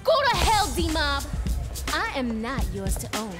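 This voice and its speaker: An actress playing a defiant young woman in a video game cutscene, emotional and forceful. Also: high-pitched, sing-song, unhurried, loud music behind the voice. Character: defiant, pleading young woman caught between fighters.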